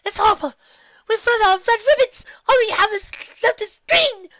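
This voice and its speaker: A woman's voice: normal speed, a bit bright, no echo, highs cut off. A woman voicing a fussy, prim female pony who speaks theatrically and is easily appalled. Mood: hysterical distress. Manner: theatrical, shrill outbursts, ranting primly.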